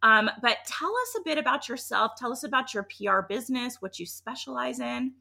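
A woman podcast host with a bright, forward voice that crackles with fry. She sounds a little scratchy and under the weather, yet talks in a chatty, effusive, emphatic style. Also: on the high side, animated, fairly quick. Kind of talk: upbeat conversational podcast intro and interview.

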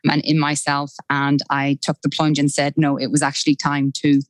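A middle-aged Irish woman with a low, deep voice chats eagerly as a podcast guest. Her speech is conversational and full of enthusiasm. The recording is dry and close, with no room sound. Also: completely flat delivery, normal speed, dry recording. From Ireland. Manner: chatty and enthusiastic, often starts with "look". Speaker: podcast guest, time management expert. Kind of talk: casual interview conversation.

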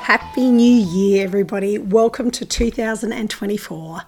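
A woman hosting her farming podcast in casual, enthusiastic chat, her voice croaky and hoarse from a recent illness. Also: mid-range voice, normal speed, faint music behind. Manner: chatty, enthusiastic, frequent ums and uhs. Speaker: podcast host.

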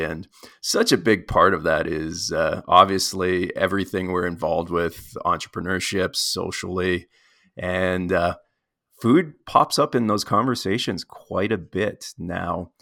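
A man hosting a podcast interview, lively in his intro, then relaxed and halting with many fillers. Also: low voice, vocal fry, dry recording, studio quality. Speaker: podcast host.

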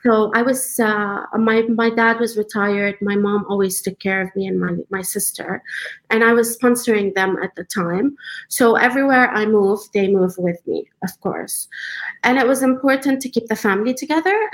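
A woman in her thirties who grew up in the UAE, a designer answering interview questions in a relaxed, conversational way with frequent fillers. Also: fairly high pitch, low-quality recording. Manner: conversational, many fillers, measured pace. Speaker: guest, designer.

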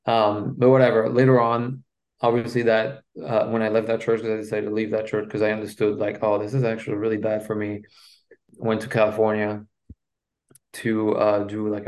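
A man with a Belgian French accent, whose first language is French, speaks as a relationship coach on a podcast. His voice sits low and stays quite flat in pitch. He talks haltingly, restarting his sentences often. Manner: halting, with many restarts and fillers. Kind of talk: personal story in a podcast interview.

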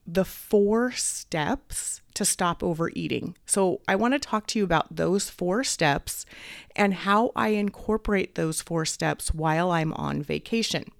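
A woman hosting a podcast as a weight coach, talking casually while fighting a head cold, a little raspy and clearing her throat. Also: on the low side, unhurried, dry recording, studio quality. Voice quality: slightly raspy. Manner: upbeat, encouraging, faith-based. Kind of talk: casual solo podcast talk.

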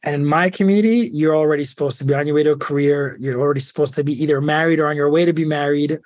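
Guest speaker, thirties man, self-deprecating and candid, higher-pitched, close dry mic. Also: racing, dry recording. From Ukraine. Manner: candid, self-deprecating, speeds up when excited. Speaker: podcast guest. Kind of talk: casual storytelling interview.

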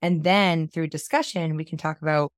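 A woman's voice: deep voice, fairly even intonation, normal speed, no echo, clean studio sound. A woman in her thirties hosting a podcast, upbeat and enthusiastic, her voice a little scratchy from a cold. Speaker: podcast host, book coach. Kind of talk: podcast intro and casual chat.

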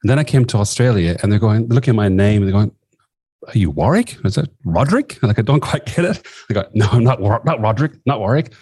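A man's voice: fairly low pitch, animated, fast, dark tone, husky, no echo, clean studio sound. A man in his fifties with an Irish accent, telling personal stories conversationally as a podcast guest, in a wry, self-effacing way. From southwest of Ireland. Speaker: podcast guest, former nurse and coach.

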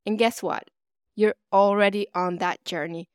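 A woman's voice: medium pitch, fairly even intonation, measured pace, clear, resonant tone, no room sound, clean studio sound. A woman hosting her own podcast in an upbeat, motivational, chatty way, with a stuffy, slightly nasal voice from a cold. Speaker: podcast host. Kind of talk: solo podcast monologue.

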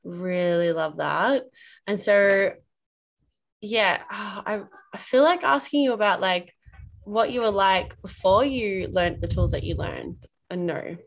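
A woman's voice: mid-range voice, slow, gravelly. A woman hosting a podcast interview, chatting casually and responding with enthusiastic affirmations. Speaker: podcast host.

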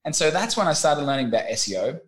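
A man's voice: fairly high pitch, fast. An Australian man in his thirties, a podcast guest talking casually and acting out little voices as he tells his stories. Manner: animated storyteller, acts out voices in anecdotes.

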